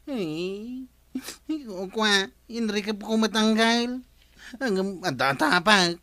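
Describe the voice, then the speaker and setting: A man's voice: high voice, expressive, takes it slowly, sharp tone, no room sound, exaggerated voice. An actor playing a robbed, hard-drinking village man who whines and blames the captain in a radio comedy drama. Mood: aggrieved.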